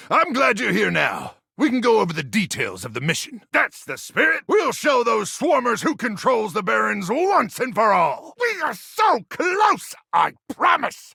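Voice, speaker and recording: A frazzled, gung-ho soldier microwave from a video game. The voice is raspy and high for a man, swinging wildly in pitch. It blusters out military talk with awkward, paranoid intensity. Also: bright, forceful tone, no room sound, clean studio sound, full character voice. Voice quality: gravelly, raspy. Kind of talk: animated video game voice acting.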